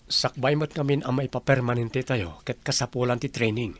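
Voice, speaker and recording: A man's voice: medium pitch, moderate pace, close to an everyday voice. A man playing an earnest, lovesick young police recruit in a radio drama. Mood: downcast, resigned.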